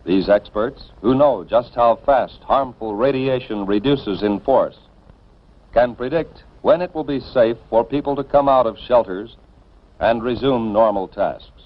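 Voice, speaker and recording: An American man reading scripted 1950s instructional film narration in an authoritative, deliberate manner, addressing the listener directly and emphatically. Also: medium pitch. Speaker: narrator of civil defense educational film. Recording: dull band-limited vintage film soundtrack.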